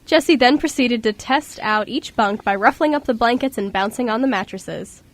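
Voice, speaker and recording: A woman's voice: a little high, normal speed. A woman reading scripted storybook narration as the narrator of an amateur radio drama.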